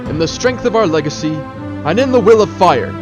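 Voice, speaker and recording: A man's voice: high-pitched, expressive, moderate pace, dull audio, music in the background. A man playing a cheerful, eccentric village leader in a radio drama, with a grand, sunny, theatrical manner. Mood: proud, rousing. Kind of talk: fan radio drama acting.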